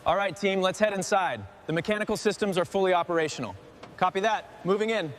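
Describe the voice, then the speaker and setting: A man plays a businesslike male technician with a notably high voice. He gives brisk workshop calls over a faint noisy background. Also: lightly acted. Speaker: restoration technician. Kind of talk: scripted workshop team dialogue.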